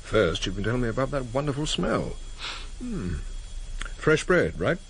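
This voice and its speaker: An actor playing a blunt, wry police detective in a radio drama, with a dry, sardonic manner. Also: male voice, medium pitch, sing-song, unhurried. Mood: brisk, genial. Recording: noisy vintage radio drama tape.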